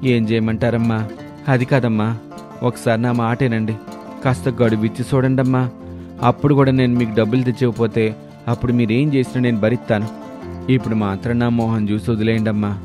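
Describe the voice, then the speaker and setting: A man's voice: medium pitch, level tone, brisk, over music, close to an everyday voice. A man voicing a humble street vendor in debt, apologetic and pleading, in a cartoon moral story. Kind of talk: dramatic Telugu moral story cartoon dialogue.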